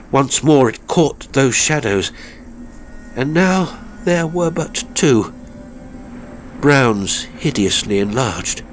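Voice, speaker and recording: A man playing a haunted, seasoned mountaineer telling a horror story in a measured, formal, gravely intense way. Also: on the low side, fairly bright tone, slight background noise. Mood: horrified. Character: seasoned male mountaineer, haunted, grimly recounting a fatal climb. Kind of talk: dramatic first-person horror storytelling.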